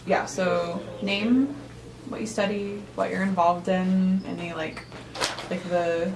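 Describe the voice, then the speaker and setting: Upbeat lady interviewer, kinda low voice, roomy echo, far from the mic. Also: fairly even intonation, slow. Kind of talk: casual interview prep. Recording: roomy, distant mic, noticeable noise.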